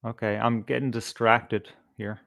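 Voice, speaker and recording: A man's voice: mid-range voice, flat delivery, a little slow, creaky, fairly bright tone, dry recording, studio quality. A man hosting a live stream, talking casually in a rambling, sweary, unscripted way. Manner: rambling, sweary, easily distracted. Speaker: host of a live stream show.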